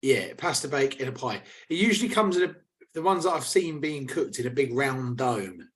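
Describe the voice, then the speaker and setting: Hoarse man, laddish banter. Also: medium pitch, expressive, normal speed, fairly bright tone. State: slightly hoarse. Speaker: podcast co-host. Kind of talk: casual chat.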